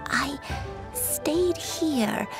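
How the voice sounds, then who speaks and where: Slow, wistful, fragile woman, slightly high pitch, halting apologetic delivery, video game, soft music behind. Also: animated, heavily acted. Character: frail, gentle, forgetful woman recalling her past.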